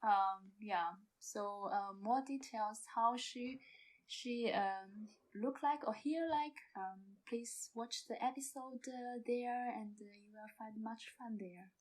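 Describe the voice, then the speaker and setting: A woman answering casual interview questions as a guest, with hesitant, halting non-native phrasing. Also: fairly high pitch, low-quality recording. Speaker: podcast guest, amateur audio play actor.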